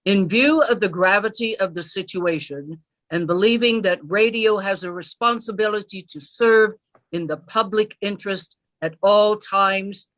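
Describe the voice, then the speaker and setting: A woman plays a network executive reading an official statement in a radio drama. Her voice is mid-pitched, and she speaks in a stiff, solemn, formal manner. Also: a bit bright, highs cut off, close to an everyday voice.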